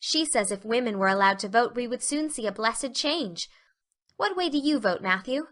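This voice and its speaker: A woman acts out a young storybook schoolgirl, earnest and chatty. The voice is fairly high and very bright. Sentences come out wordy and melodramatic, with great seriousness about small things. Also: expressive, normal speed. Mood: chatty, curious. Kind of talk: storybook character dialogue.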